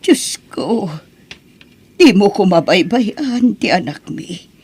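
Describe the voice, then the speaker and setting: A woman's voice: mid-range voice, lively intonation, slow, a little mellow, breathy edge, heavily acted. A woman acting a worried, pleading older mother in a fretful radio-style drama manner. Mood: distressed.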